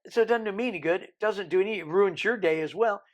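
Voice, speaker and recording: A man in his sixties, from the Isle of Man, with a Birmingham accent softened by decades in America, telling his life story in an interview with rambling, joking asides. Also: high-pitched, quick pace, dull audio. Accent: American-tinged Birmingham English. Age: 60s. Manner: rambling, joking, self-deprecating. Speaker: interview guest.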